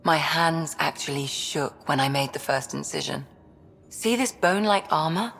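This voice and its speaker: An actress playing a composed, caring doctor in a video game, measured and matter-of-fact. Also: female voice, sits low, gravelly, a bit bright. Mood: absorbed. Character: composed, caring female ship's doctor and scientist. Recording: reverberant room with faint music.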